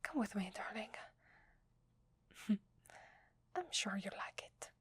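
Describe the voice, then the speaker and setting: A woman with an Italian accent playing a tender, secretive werewolf wife in an ASMR roleplay, in a soft, hesitant whisper. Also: medium pitch, animated, slow pace, breathy edge, dry recording, heavily acted. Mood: playful, affectionate.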